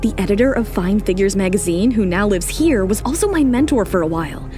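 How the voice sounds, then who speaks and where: A woman's voice: medium pitch, animated, fairly quick, music in the background, voice pushed down, natural voice. A woman voicing a proud, independent young model who speaks with composed determination in an audio drama. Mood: determined.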